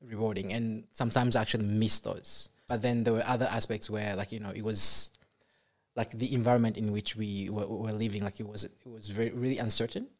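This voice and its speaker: A young man from Congo speaks as a podcast guest. He talks softly and haltingly, restarting his sentences and filling pauses with "um" and "you know.". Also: lowish voice, little pitch movement. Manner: soft-spoken, halting with many fillers and restarts. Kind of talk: casual interview chat.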